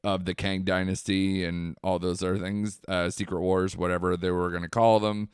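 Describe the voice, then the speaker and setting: A man's voice: deep voice, little pitch movement, normal speed, smooth, dry recording, clean studio sound. A man co-hosting a podcast, talking loosely in casual chat, swearing now and then and trailing off. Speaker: podcast co-host.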